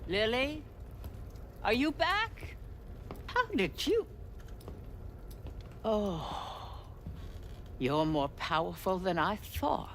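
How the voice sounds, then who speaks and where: An actress playing a grieving, suspicious woman who dabbles in dark magic, in a video game cutscene. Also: mid-range voice, animated, drawn-out words, long pauses. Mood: startled. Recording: loud noisy ambience around voice.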